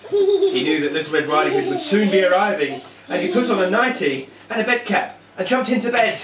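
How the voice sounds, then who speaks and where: A roomy, muffled home-video recording of a woman narrating a puppet show for children. Her storytelling is lively and homespun, with the odd stumble. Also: medium pitch, a little fast, far from the mic. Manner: animated, stumbling over words. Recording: dull, noisy, echoey home-video from afar.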